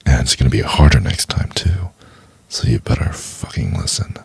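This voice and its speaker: A man playing a domineering, possessive CEO boss in an intimate ASMR roleplay, in a gravelly, breathy half-whisper. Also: rumbling low, very animated, a little mellow, dry recording, heavily acted. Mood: threatening. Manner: slow, commanding, intimate.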